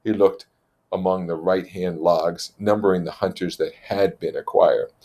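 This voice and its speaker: A man's voice: low voice, fairly even intonation, a little slow, dark tone. A man reading a novel aloud as narrator and commentator, in an explanatory style. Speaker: reader and commentator.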